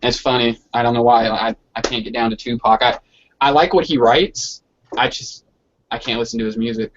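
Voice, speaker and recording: A man's voice: medium pitch, fairly even intonation, normal speed, a little hiss or hum. A man chatting casually in slangy, sweary language over an online hangout call with dull, lo-fi sound. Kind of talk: casual chat. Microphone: online video hangout.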